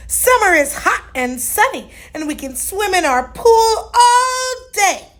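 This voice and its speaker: A storybook mother hippo, played by a woman speaks gently and slowly in a high voice. She is patient, soothing and full of reassurance. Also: very animated, full character voice. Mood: explaining gently.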